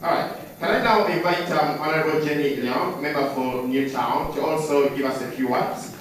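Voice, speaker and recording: A man's voice: a little high, moderate pace, recorded from a distance, a little hiss or hum. A man acting as master of ceremonies, formally and courteously presenting awards over a microphone in a function room. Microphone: handheld microphone, picked up from the room. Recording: very echoey room, off the PA.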